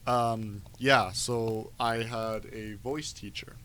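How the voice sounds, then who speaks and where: A man chatting casually on a podcast, telling anecdotes in an animated storytelling way, on noisy amateur audio. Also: lowish voice, slow.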